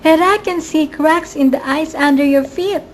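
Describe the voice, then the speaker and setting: A woman's voice: high-pitched, moderate pace, close to an everyday voice. A woman playing a timid, trusting woman in an amateur radio drama, anxious, hesitant and pleading. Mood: worried. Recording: faint background noise, amateur recording.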